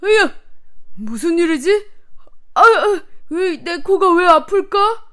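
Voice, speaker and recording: Woman acting a scheming storybook woodcutter, slow and drawn-out. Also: very high voice, expressive, a bit bright, pitched above the performer's own voice, exaggerated voice. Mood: pained, alarmed. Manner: theatrical, drawn-out delivery. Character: scheming, lazy, greedy male woodcutter in a fairy tale. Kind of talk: children's storybook character acting.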